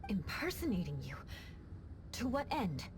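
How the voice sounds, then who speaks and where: A woman playing a haughty, stern young knight in a video game, with crisp, imperious, formal delivery. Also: medium pitch, sing-song, unhurried, noisy background. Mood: skeptical, firm. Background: game music.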